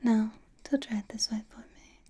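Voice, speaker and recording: A woman voicing a flirtatious, teasing, possessive vampire in a soft ASMR roleplay, whispering breathily close to the microphone. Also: on the high side, steady tone, husky. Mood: tender, flirtatious. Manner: slow, soothing, coy teasing.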